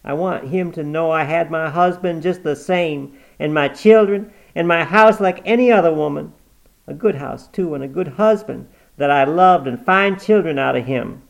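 A man reading aloud, voicing a sharp-tongued, stubborn old woman on her deathbed who scolds and commands. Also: medium pitch, normal speed, vocal fry, pitched above the performer's own voice, close to an everyday voice. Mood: pleading. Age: 80s.

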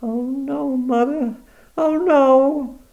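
Guy voicing a worried daughter at a sickbed, high and soft, a bit gravelly. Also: fairly even intonation, extremely slow, lo-fi sound, heavily acted. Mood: anxious. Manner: soothing, anxious. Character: worried middle-aged daughter at a bedside.

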